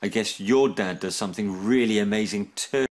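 A man's voice: on the low side, moderate pace, close to an everyday voice. A man voicing a young boy crocodile in a children's story, wistful, hesitant and childlike. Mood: glum, plaintive. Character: young boy crocodile, wistful and unsure about his dad.

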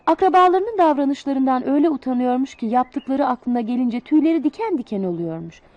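Woman, children's fable narrator, expressive, dry close sound. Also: high voice, a little fast, no echo, dull audio. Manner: expressive, sing-song storybook delivery. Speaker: storyteller. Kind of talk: reading a children's fable aloud.

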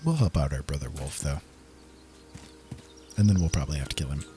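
A man's voice: a bass voice, brisk, dark tone, a little hiss or hum. A man giving casual, easygoing commentary on his own gameplay, talking to himself as he plays.

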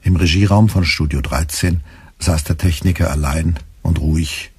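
An actor playing a weary male radio editor who narrates in a measured, precise, dry literary style. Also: deep voice, husky, no echo, full character voice.

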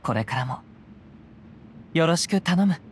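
A man voicing a calm young wandering samurai in a game, speaking in formal old-fashioned phrasing. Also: fairly high pitch, a little slow, slight background noise. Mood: calm reflective. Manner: formal archaic speech, gentle and reflective. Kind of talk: game voice acting.

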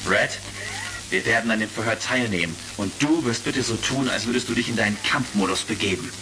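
An actor playing a confident, heroic space commander in a retro radio play, giving assured orders. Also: male voice, on the low side, brisk, natural voice. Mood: calm, sly. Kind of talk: retro science fiction radio play acting. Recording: music behind, vintage crackle.

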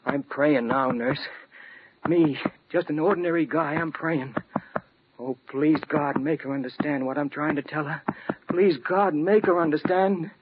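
A man's voice: fairly high pitch, fairly even intonation, a little slow, lightly acted. An actor playing a bedridden man who cannot speak, pleading in his thoughts in an old radio drama. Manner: pleading, breaking into anguished outbursts. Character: mute bedridden wounded man, desperate inner voice. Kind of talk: 1940s radio drama inner monologue. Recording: muffled old radio recording with hiss.

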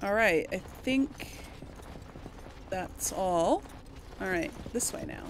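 Female gameplay commentator, lively intonation, slow delivery, chatting to characters and to herself. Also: medium pitch, noisy background. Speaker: host of a role-play gaming series. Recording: game music behind the voice. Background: video game music.

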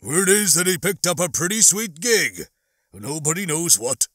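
Audiobook role: man acting alien barfly smuggler, tipsy and slangy, bright-edged voice. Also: a little high, animated, moderate pace, dry recording, studio quality. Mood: gossipy. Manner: casual, hesitant, slangy. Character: one-armed male alien smuggler barfly, loose-lipped and tipsy. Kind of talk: audiobook character dialogue.

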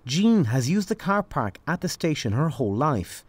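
A young man, a TV news reporter reading scripted narration in a measured, formal way. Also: a little high, big pitch swings, dry recording.

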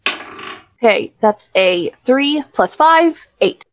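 A woman's voice: a little high, expressive, drawn-out words, no room sound. A woman chatting casually as a player in a tabletop role-playing game.

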